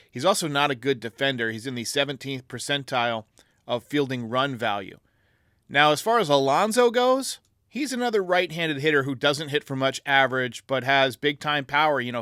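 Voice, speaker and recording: A man's voice: mid-range voice, pushed and bright, smooth, dry recording, studio quality. A man hosting a baseball show, giving commentary in response to listeners' voicemails. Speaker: sports show host.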